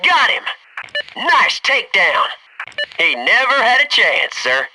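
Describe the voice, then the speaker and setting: An actor playing a cocky, keen young military squadmate in a video game, giving short clipped combat callouts. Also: male voice, extremely high pitch, big pitch swings, takes it slowly, noisy background, heavily acted. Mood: cocky, elated.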